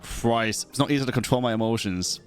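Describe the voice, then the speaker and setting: Male game streamer, mid-pitched voice, casual and sweary, faint game music behind him. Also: a little fast. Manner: informal, sweary. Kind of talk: casual livestream commentary and reading game dialogue.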